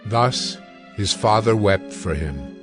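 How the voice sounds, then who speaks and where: A man narrating scripture in a measured, solemn storytelling style. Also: lowish voice, animated, fairly bright tone, faint music behind. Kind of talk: scripted dramatic Bible narration.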